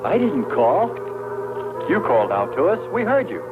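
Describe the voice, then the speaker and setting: Through a very noisy old film soundtrack comes an excitable astronaut. His voice is high and swings widely, in 1960s sci-fi movie style. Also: normal speed, phone-quality sound. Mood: relieved, puzzled. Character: excitable astronaut crewman on a hostile planet. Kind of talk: 1960s science fiction film dub.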